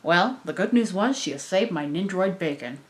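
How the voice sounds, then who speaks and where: A woman voicing a male android detective in deadpan, melodramatic noir monologue, as in an amateur cartoon reading. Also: fairly low pitch, normal speed, close to an everyday voice. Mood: hardboiled, wry. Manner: deadpan, overly descriptive, melodramatic. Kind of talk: hardboiled detective narration, amateur dramatic reading.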